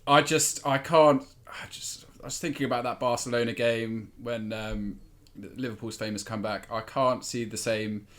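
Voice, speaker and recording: A man's voice: mid-range voice, steady tone, normal speed. Man talking through football analysis. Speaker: football podcast pundit. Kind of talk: analytical sports chat.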